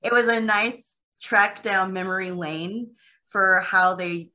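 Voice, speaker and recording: Woman podcast host from Texas, bright tone, chatty, gushes, heavy on fillers. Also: mid-range voice, takes it slowly. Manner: chatty, gushing, many "like" fillers. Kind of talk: casual interview chat.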